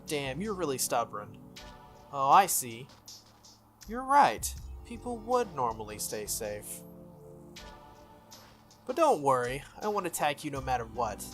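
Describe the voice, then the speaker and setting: A man's voice: mid-range voice, very animated, a little slow, long pauses, a bit bright, soft background music. Man doing a smug, swooping teenage schoolboy schemer. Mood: needling.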